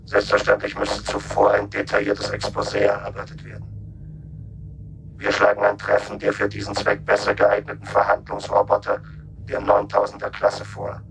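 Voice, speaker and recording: An actor playing a businesslike military robot reading out a formal transmission in a radio drama. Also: male voice, lowish voice, normal speed, lightly acted, low-quality recording. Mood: businesslike, flat. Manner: formal, telegraphic, flat. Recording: faint hum and noise.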